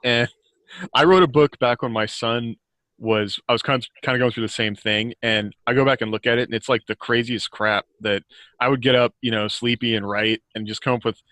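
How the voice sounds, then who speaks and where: An American man with a bright, forward voice talks casually as a podcast guest. The sound is close and dry, and he tells anecdotes in an informal, chuckling way. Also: medium pitch, fairly even intonation, a little fast, no room sound. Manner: informal, chuckles often while talking.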